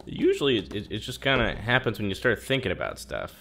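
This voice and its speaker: A man's voice: on the low side, sing-song, a little fast, gravelly, fairly bright tone, exaggerated voice. A man playing an anxious, hot-headed research subject in a naturalistic audio drama, stammering and informal. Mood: uneasy, awkward. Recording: faint background ambience.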